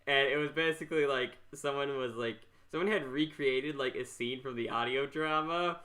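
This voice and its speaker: A man hosting a podcast in casual chat, talking haltingly and rambling as he repeats himself. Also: mid-range voice, pushed and bright. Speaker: podcast host.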